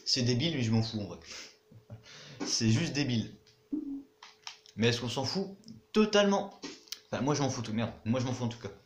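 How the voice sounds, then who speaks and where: A man's voice: medium pitch, animated, normal speed, long pauses, reverberant, noisy background. A young man giving casual commentary over his own gameplay, chatty, muttering to himself and swearing now and then. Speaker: gaming YouTuber.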